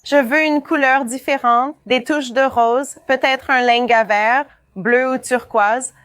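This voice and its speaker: A woman's voice: high voice, fairly even intonation, slow pace, a bit bright, clear, resonant tone, no room sound. A woman voicing a young bride-to-be who wants something original, in a dubbed reality show. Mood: upbeat. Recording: music playing under the voice.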